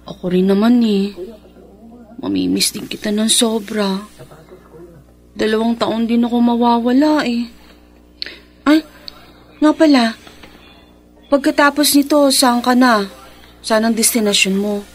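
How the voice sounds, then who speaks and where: A woman's voice: fairly high pitch, fairly even intonation, unhurried, long pauses, soft background music. An actress playing an affectionate, devout young woman who works overseas, earnest and emotive in a radio drama.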